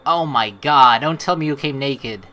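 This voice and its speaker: A woman's voice: a bass voice, measured pace, sharp tone. A woman hosting a let's play, switching between reading game narration aloud and playful, teasing commentary.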